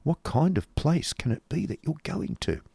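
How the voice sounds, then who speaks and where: A man voicing a gentle, worried young lady from a storybook, soft, hushed and urgent. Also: fairly high pitch, expressive, moderate pace, gravelly, husky, dry recording, voice pushed up, full character voice.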